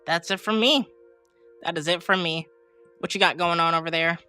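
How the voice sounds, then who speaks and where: A woman's voice: fairly low pitch, moderate pace, sharp tone, clean tone, faint music behind. A woman co-hosting a gaming podcast in casual, slangy, emphatic chat, sounding like she has a slight head cold. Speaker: podcast co-host and game streamer.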